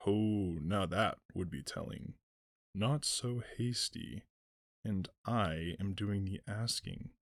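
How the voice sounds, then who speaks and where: A man voicing an ancient, slow-spoken male tree giant with a deep, humming voice. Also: dry recording. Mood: slow ponderous. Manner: slow, ponderous, murmuring.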